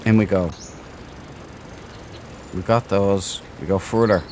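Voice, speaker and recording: A man's voice: low voice, steady tone, slow, darkish tone, slight background noise. A man giving casual, jokey and crude commentary as a let's play host.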